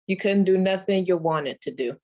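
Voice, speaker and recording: A woman's voice: lowish voice, moderate pace, mellow, highs cut off, lightly acted. A woman playing a sassy, folksy old female comedian doing a wry 1940s radio stand-up monologue.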